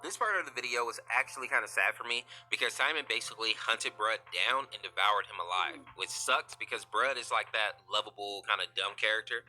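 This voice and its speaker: Fast, very bright male narrator. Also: fairly low pitch, faint music behind, low-quality recording. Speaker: lore video narrator. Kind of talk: explanatory fan commentary.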